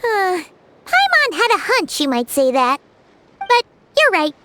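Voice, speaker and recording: A woman voicing a tiny, childlike fairy companion girl in a video game, with a squeaky voice and an eager manner. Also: wildly swooping pitch, a little slow, very noisy, exaggerated voice. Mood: thoughtful. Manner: speaks of herself in third person.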